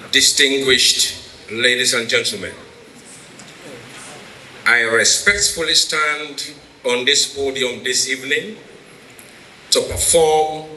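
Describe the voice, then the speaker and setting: A man giving a formal, ornate introduction from a podium with deliberate phrasing. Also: mid-range voice, bright, forceful tone. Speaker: introducer of the keynote speaker. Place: podium in a hall. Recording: echoey hall with background noise.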